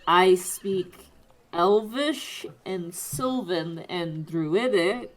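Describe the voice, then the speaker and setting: A woman's voice: lowish voice, expressive, extremely slow, a little hiss or hum, low-quality recording. A woman playing a tabletop roleplaying game, casually describing what her character does. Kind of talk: casual improvised roleplay chat.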